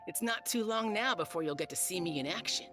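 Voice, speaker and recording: A woman voices a smug high achiever in a video game. The delivery is pompous and self-assured, with big swings of pitch over faint music. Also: animated, brisk, lightly acted. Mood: haughty. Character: smug, conceited high-achieving martial arts competitor.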